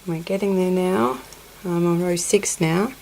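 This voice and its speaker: Woman with a cold, low gravelly voice, counts stitches softly, crochet tutorial, faint ambience. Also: unhurried, slight background noise, poor audio. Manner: counts stitches under her breath, apologetic asides. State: sniffly with a cold. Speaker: crochet teacher. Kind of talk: hands-on craft tutorial. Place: indoors at a table, close-up on her hands.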